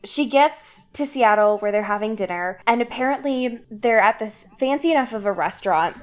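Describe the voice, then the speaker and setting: A woman's voice: fairly high pitch, animated, normal speed. A woman co-hosting a podcast, recapping a book in a casual, giggly, comedic way. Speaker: podcast co-host.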